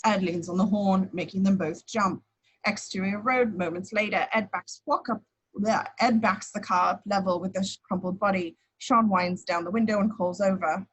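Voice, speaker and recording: A woman's voice: mid-range voice, fairly even intonation, moderate pace, husky, poor audio. A woman reading out scripted action descriptions as narrator at an amateur table read.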